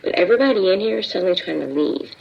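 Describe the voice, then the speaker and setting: An actress playing a tense undercover vice officer who gives a quick, clipped report in an audio drama. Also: female voice, mid-range voice, expressive, vocal fry, a little hiss or hum. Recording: muffled lo-fi radio sound.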